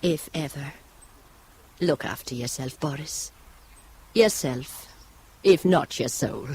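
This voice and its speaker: An actress playing a spirited, dry-witted aunt in a spy audio drama, with wry, teasing delivery. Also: female voice, deep voice, takes it slowly, darkish tone, breathy edge, exaggerated voice. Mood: wry farewell. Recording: faint background noise.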